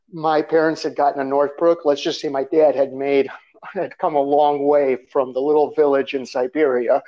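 A man from Russia, a guest author talking about his background in a loose, rambling way with fillers and small laughs. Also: mid-range voice. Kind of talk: conversational interview. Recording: slightly dull lo-fi audio.

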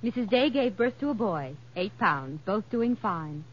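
Actress as radio nurse, dull recording. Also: female voice, mid-range voice, expressive, measured pace, lightly acted. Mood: businesslike. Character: businesslike hospital desk nurse. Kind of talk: 1950s radio drama acting. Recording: dull, slightly noisy vintage radio recording.

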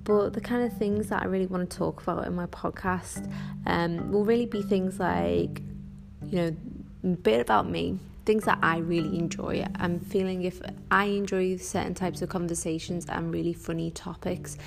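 A woman with a Scouse accent hosting a casual solo podcast, rambling and chatty with many ums and quick self-corrections. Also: on the low side, fairly even intonation, creaky, over music. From Northwest England. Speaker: podcast host.

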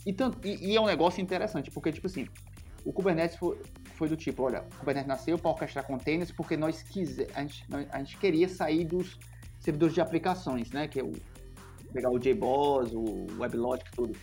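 A man's voice: a little high, darkish tone, faint music behind. A man with a Brazilian accent, co-hosting a casual tech podcast and laying out his opinions emphatically. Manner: opinionated, emphatic, quick bursts of listing. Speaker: podcast co-host.

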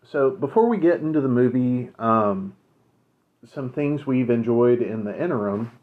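A man co-hosting a podcast, chatting casually and recommending shows, relaxed with many fillers. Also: mid-range voice, measured pace. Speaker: podcast co-host. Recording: phone-like narrow sound.

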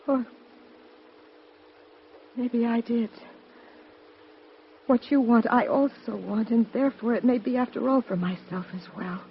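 An actress playing Eve, the first woman, in an old radio drama, speaking in a hushed, urgent way. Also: fairly high pitch, a little slow, long pauses, a little hiss or hum. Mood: pleading. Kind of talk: 1950s radio drama acting. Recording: dull old radio broadcast.

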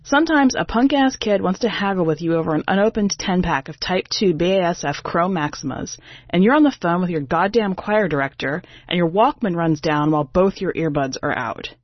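A woman's voice: fairly low pitch, animated, fairly quick, dry recording, muffled recording. A woman narrating a short story as a brash, defensive, sarcastic female singer, in a wry, exasperated, conversational way.

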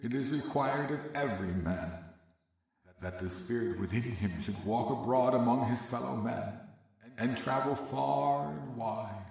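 A mournful old ghost, slow intoning, gravelly, hollow reverb from far off. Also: male voice, mid-range voice, a little mellow, voice pushed up. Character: ghost of an old man, doleful and weary. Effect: heavy ghostly reverb. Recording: echoing reverb, distant from mic.

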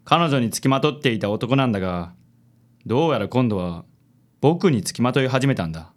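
ラジオドラマで、自信に満ちた紳士的な気取った色男を演じる男性。. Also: male voice, medium pitch, lively intonation, fairly quick, natural voice. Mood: puzzled but composed. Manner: smooth, affected gallantry. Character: suave, self-assured handsome gentleman charmer. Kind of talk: radio drama acting.

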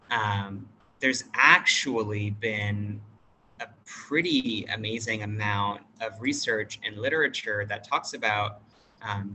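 Non-binary american teacher on a video call, bright voice, lots of ums and restarts, thinking it through. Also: mid-range voice, a little hiss or hum, rough recording. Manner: thoughtful, hesitant, with many fillers and restarts. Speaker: teacher at an international school; workshop facilitator. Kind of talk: casual interview talk.

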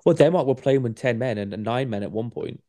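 A young man co-hosting a podcast, speaking calmly and teasing the others in a dry way. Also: medium pitch, brisk. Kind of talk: casual banter.

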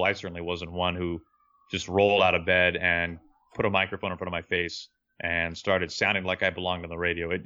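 A young man with a deep voice, an earnest, reflective baseball broadcaster telling his story as an interview guest. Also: pushed and bright, muffled recording.

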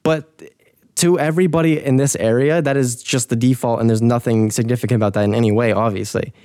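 A man's voice: medium pitch, steady tone, fairly quick, a little mellow, clean tone, dry recording, studio quality. A young American man in casual conversation, talking thoughtfully and hesitantly with many fillers and restarts. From United States. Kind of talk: casual chat.

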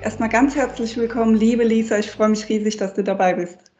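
A woman's voice: a little high, steady tone, brisk, darkish tone, lo-fi sound, soft background music. A woman hosting a podcast, speaking in an upbeat presenter style as she introduces and interviews a guest.

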